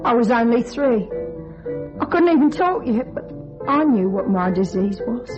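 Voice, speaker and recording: High, melodramatic, world-weary woman, acted out. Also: expressive, normal speed, close to an everyday voice. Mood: self-pitying, bitter. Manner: dramatic, dwelling on her misery. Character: bitter, self-pitying, unstable world-weary woman. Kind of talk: old-time radio thriller melodrama. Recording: dull vintage radio recording, music behind.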